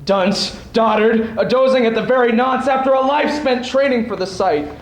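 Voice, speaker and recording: A man's voice: very high-pitched, normal speed. A young man giving a theatrical, declamatory recitation of poetry as a student speech competitor. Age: young adult. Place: school event stage before an audience. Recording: echoey hall.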